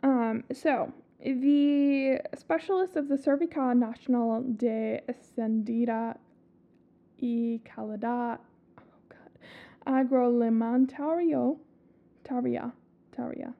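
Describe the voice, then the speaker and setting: Podcast co-host, woman, raspy sick voice, tinny phone-like sound, chatty and profane. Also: a little high, fairly even intonation, slow. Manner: swears a lot, stumbles over foreign names. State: sick, scratchy voice. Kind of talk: casual chat reading a story.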